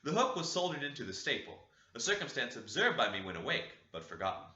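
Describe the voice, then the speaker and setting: A man's voice: medium pitch, big pitch swings, quick pace, sharp tone, roomy sound, muffled recording. A man narrating a classic novel as an audiobook, reading dramatically.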